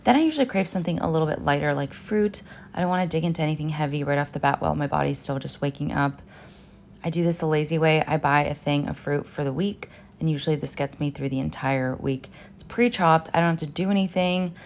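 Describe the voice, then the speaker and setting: A woman's voice: deep voice, quick pace, creaky, slight background noise. A woman in her thirties from Finland, a nutritionist chatting casually to viewers as she narrates her day of eating. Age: 30s. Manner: casual, chatty, upbeat, addresses viewers as guys. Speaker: nutritionist and vlogger. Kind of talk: what-I-eat-in-a-day vlog narration.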